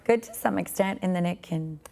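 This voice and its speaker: A woman in her forties, a podcast guest with a raspy, tired voice, talking earnestly and reflectively about faith. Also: fairly low pitch, brisk, a little mellow. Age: 40s.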